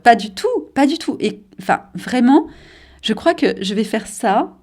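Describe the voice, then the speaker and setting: A woman's voice: fairly high pitch, sing-song, a little slow, a little mellow. A woman novelist in her forties from the Paris suburbs, speaking in an interview, thoughtful and hesitant with frequent fillers. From Paris suburbs, France. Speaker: novelist and journalist.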